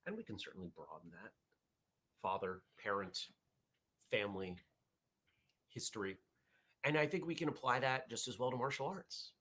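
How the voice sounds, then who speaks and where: Husky low-voiced man hosting a live chat. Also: moderate pace, pauses often, fairly bright tone. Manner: rambling, self-correcting, reads viewer chat aloud. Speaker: host of a martial arts morning show. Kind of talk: casual live-stream chat with viewers.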